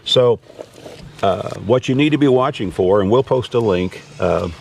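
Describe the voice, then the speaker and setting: A man's voice: fairly low pitch, moderate pace, a little mellow, far from the mic. An American man hosting a casual, unscripted gear review in a folksy, rambling way. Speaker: vlog host reviewing gear. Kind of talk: casual unscripted product talk. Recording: clear background noise.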